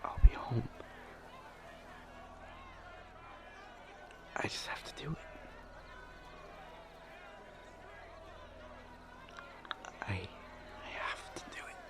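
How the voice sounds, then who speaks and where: A man playing an exhausted, devoted demon lover in an audio roleplay, halting and breathy as he strains to speak. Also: a crowd in the background, natural voice. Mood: strained, desperate. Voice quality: breathy, whispery. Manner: halting, straining, repeats words. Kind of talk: intimate dramatic audio roleplay.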